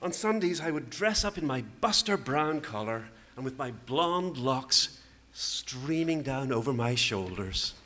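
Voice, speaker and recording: Actor as middle-aged male straight man, sincere and easily flustered, lively inflection, vintage radio comedy. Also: medium pitch, unhurried. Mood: sentimental. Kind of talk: 1940s radio comedy acting.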